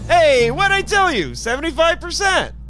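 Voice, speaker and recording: Expressive man narrating an adventure story. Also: very high-pitched, moderate pace, loud music behind the voice, low-quality recording. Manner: theatrical, expressive. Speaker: podcast host narrating an audio story. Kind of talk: first-person scripted story narration with acted dialogue.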